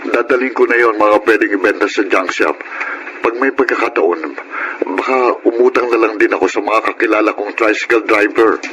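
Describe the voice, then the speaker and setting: Man acting easygoing filipino dad in a radio drama, kinda low voice, defensive, noisy audio. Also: moderate pace, natural voice. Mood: apologetic, resigned. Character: easygoing, unhelpful middle-aged husband who loves gambling.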